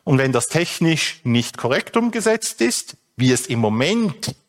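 A man's voice: fairly high pitch, sing-song, unhurried. A man explains a legal and technical topic step by step, with frequent hesitations. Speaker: speaker on data protection. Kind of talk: explanatory talk.

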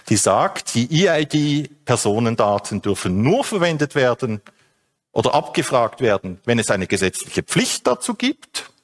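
A man explains a legal and technical topic step by step, with frequent hesitations. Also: mid-range voice. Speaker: speaker on data protection. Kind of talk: explanatory talk.

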